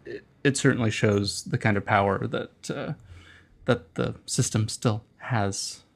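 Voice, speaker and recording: A man in casual conversation as an interview guest, speaking in a hesitant, measured, halting way. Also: lowish voice, vocal fry.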